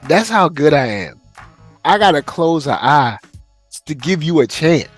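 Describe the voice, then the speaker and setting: Man, podcast host, hyped, very lively pitch, faint music. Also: measured pace. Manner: animated, hyped, acts out quotes. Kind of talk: excited casual chat.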